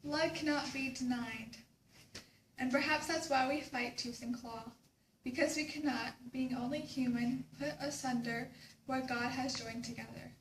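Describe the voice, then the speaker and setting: A woman's voice: high voice, steady tone, unhurried. A young woman reading a dramatic script as a student in a readers theater group. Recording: echoey room, distant mic, faint noise.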